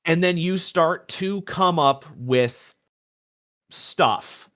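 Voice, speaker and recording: Young male co-host with a lighter-pitched, slow, emphatic delivery for informal personal talk. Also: a bit bright. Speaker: podcast co-host. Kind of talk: casual personal discussion.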